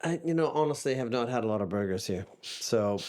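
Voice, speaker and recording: A man's voice: mid-range voice, lively intonation, a little fast, a little mellow. A man with a mixed Canadian and Australian accent, talking casually as a podcast guest, rambling and restarting often. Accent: Canadian and Australian mix. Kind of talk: casual interview chat.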